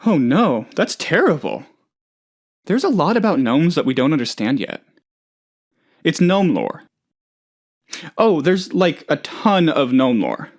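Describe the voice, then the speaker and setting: A man voicing a naive, excitable oddball office boss in a video game, chatty and rambling, with hesitant, earnestly gullible delivery. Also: fairly high pitch, slow, long pauses, heavily acted. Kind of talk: video game character dialogue.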